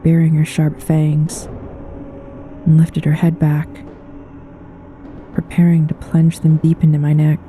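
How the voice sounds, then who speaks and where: Dark-voiced woman narrating a horror tale. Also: sits low, completely flat delivery, measured pace, pauses often, soft background music. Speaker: narrator, paranormal storyteller. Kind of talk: scripted horror story narration, read aloud.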